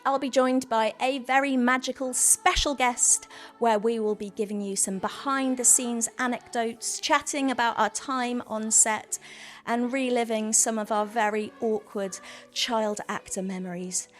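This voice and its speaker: A woman's voice: fairly high pitch, fairly even intonation, measured pace, fairly bright tone, faint music behind. A woman in her mid-forties with a Welsh accent, hosting a podcast with casual, reminiscing chat. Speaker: podcast host, former child actor.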